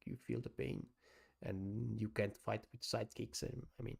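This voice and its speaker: A man from Italy with an Italian accent, giving halting casual live-stream commentary on taekwondo fights and answering viewers. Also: lowish voice, steady tone, gravelly, husky. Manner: halting non-native English with many "uh"s, chatting with viewers.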